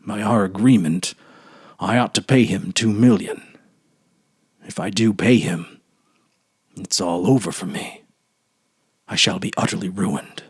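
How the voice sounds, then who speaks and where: An old ruined banker from a classic storybook, acted out by a male reader. He broods to himself in a low, hushed mutter. Every word is weighed down with anxiety and bitter despair. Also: a little slow, pauses often, husky, no echo, heavily acted. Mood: anxious dread. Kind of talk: dramatic short story reading.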